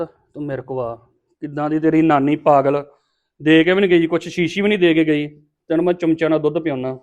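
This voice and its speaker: A man's voice: fairly high pitch, close to an everyday voice. A man playing a blunt, short-tempered yet protective family man in a domestic melodrama. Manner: blunt, emphatic, colloquial. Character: scolding, short-tempered man, protective toward an abandoned baby. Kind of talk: melodramatic domestic drama acting.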